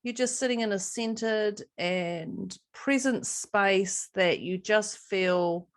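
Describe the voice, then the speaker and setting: A woman's voice: mid-range voice, slow. A woman with a New Zealand accent co-hosting a podcast in casual chat, bubbly and laughing often. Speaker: podcast co-host.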